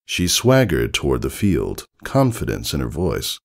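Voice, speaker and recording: A man reading fiction aloud as an expressive scripted story narration. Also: a bass voice, unhurried, creaky. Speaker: fan fiction reader.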